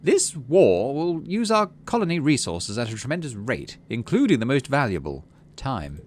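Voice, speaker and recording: A man's voice: mid-range voice, wildly swooping pitch, normal speed, a little hiss or hum, voice pushed up. A man voicing a prim, formal colony administrator making pointed official announcements in a video game. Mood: stern, official.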